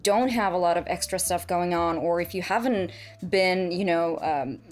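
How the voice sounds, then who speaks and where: A woman's voice: lowish voice, normal speed, darkish tone, faint music behind. Hesitant woman surgeon chatting. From Serbia. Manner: thoughtful, hesitant with many ums and restarts. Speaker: surgeon guest. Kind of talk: casual podcast chat.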